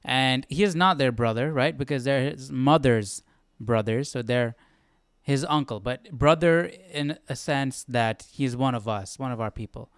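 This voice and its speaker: A man with a smooth, mid-to-low voice hosts a casual study stream. He reads bits aloud and puzzles over them out loud, recorded close and dry. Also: moderate pace, dry recording. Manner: thinking aloud, self-questioning. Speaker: live stream Bible study host. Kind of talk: casual study commentary, reading aloud and reacting.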